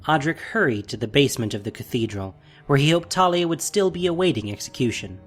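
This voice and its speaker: A man narrates a dramatic story in an expressive, theatrical style. His mid-pitched voice moves in lively swings, with faint music underneath. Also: quick pace.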